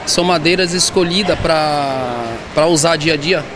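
A man, being interviewed as an artisan. He speaks haltingly and often repeats or restarts words. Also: fairly high pitch. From southern Bahia, Brazil. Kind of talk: street interview. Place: indoor shopping mall. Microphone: handheld reporter mic. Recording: noisy mall background.

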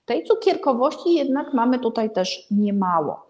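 A middle-aged woman speaks in a fairly high voice. She presents a book informally to camera as a vlog host. Her phrasing is hesitant, with drawn-out pauses and frequent self-corrections. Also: very animated, darkish tone. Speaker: vlog host recommending books. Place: indoor room hung with paintings.

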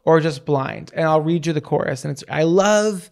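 A man in his thirties with a raspy voice talks into a handheld mic in a small home studio. He chats eagerly and at length about a favorite record, like a co-host running a casual podcast segment. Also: fairly high pitch, normal speed. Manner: chatty and enthusiastic, gushes about music. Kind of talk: casual chat. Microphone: handheld microphone.

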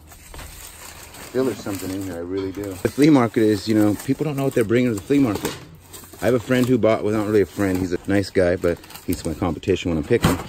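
Man, lowish voice, chatty storage-unit vlogger, noisy background, camera mic. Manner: chatty, speculating, quick pitch jumps when excited. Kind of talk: casual chat while sorting a storage unit.

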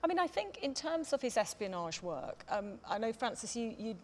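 A woman's voice: fairly high pitch, big pitch swings, moderate pace, slight background noise. A woman in her forties chairing a panel discussion, putting long, tentative questions to the guests. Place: theatre stage, indoors.

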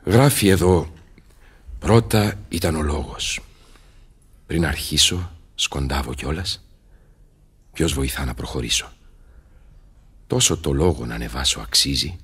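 A very deep, husky voice carries an old male scholar in a radio play. He is solemn and brooding, thinking aloud in theatrical, declaimed verse, every phrase weighted with learning. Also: animated, long pauses, fairly bright tone, heavily acted. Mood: pensive. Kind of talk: theatrical Greek radio drama verse acting.

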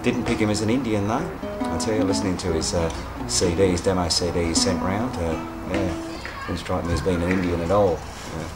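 A man who plays bass, chatting casually in a recording studio. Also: steady tone, fairly quick, few pauses, poor audio. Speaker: bass player. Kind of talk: casual interview. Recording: music playing behind.

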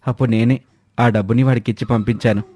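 A man voicing a kind, trusting younger brother, a fisherman, speaking gently in an animated moral story. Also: medium pitch, quick pace, close to an everyday voice. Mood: explaining. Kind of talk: dramatised moral story dialogue.

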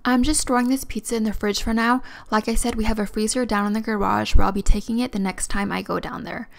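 A young woman narrates a home-making vlog in a casual voice-over. Her voice sits a bit high and has a clear gravelly fry to it. Faint music plays behind her. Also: steady tone, quick pace. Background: background music.

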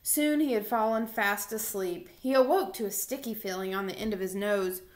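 A woman's voice: mid-range voice, normal speed. A young woman reading aloud for a children's storytime, recorded at home in a small room on a computer mic. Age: young adult.

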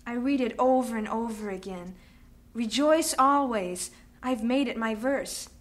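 An actress playing a young missionary widow, quiet and composed through her grief. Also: on the high side, unhurried, close to an everyday voice. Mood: resolute. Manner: quiet, grieving but composed. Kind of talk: radio drama acting.

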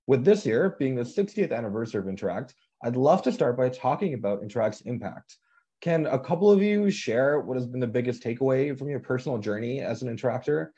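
Young male moderator, upbeat and encouraging, mellow tone, muffled call audio. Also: medium pitch. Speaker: council chair and moderator. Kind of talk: moderating a live video panel. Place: home room. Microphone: video call.

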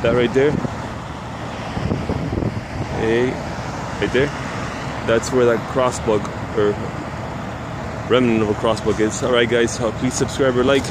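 A man's voice: mid-range voice, fairly even intonation, normal speed. Man walking city streets, narrating. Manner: rambling, restarting often. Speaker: vlogger exploring old rail sites. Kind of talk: casual walking commentary. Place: outdoors on city streets at sunset. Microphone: handheld camera mic. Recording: outdoor traffic noise. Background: street traffic.

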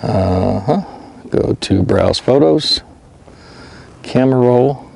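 A man's voice: mid-range voice, expressive, takes it slowly, gravelly, darkish tone, breathy edge. A middle-aged man vlogging from a kayak on an open lake, explaining each step aloud and talking to the fish he handles. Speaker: fishing vlogger. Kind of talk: casual narration while working. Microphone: mounted action camera. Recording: outdoor wind and water noise.

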